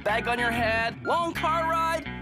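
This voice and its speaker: A man's voice: extremely high pitch, unhurried. An actor, a man, playing a cocky, scheming teenage boy villain in a cartoon, smug and glib. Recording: loud music behind.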